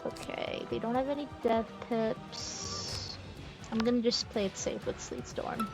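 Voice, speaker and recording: Casting: female game streamer, medium pitch, unhurried easygoing commentary, loud music underneath. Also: fairly even intonation. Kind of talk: casual gameplay commentary.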